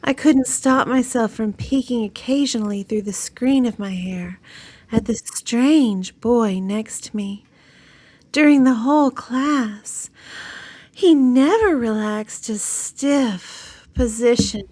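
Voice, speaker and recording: Woman, story reader; slow, husky, melodramatic delivery. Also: on the high side, mellow. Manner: breathy, drawn-out, melodramatic reading. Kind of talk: dramatic reading of a novel aloud.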